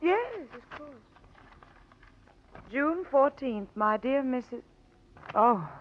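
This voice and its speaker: A woman's voice: sits high, very animated, slow, a little hiss or hum, heavily acted. An actress playing an anxious wife hiding her past, hesitant and evasive, in 1940s radio drama style. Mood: guarded. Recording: muffled vintage radio recording.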